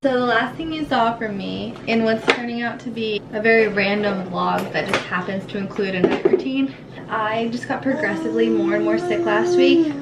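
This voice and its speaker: Young woman vlogging, higher voice, echoey room. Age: young adult. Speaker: vlogger and mother of a young child. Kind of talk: casual vlog chat to camera. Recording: echoey room, clearly noisy background.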